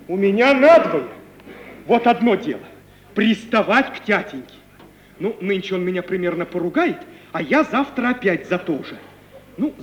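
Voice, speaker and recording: An actor playing an anxious, lovesick young merchant's son with folksy, plaintive, grumbling speech in an old radio play. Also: male voice, high voice. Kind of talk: 1950 Russian radio play acting. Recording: muffled, hissy 1950s radio recording.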